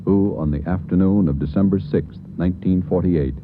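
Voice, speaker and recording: Brief: solemn male radio announcer, very deep voice, tinny old broadcast with music beneath. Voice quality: grave, measured delivery. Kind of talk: formal 1940s radio announcing.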